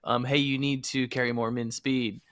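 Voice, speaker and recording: An American man with a bright, forceful voice at a middle pitch chats casually as a show host. The sound is close and dry. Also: level tone, normal speed, no echo. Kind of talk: casual chat.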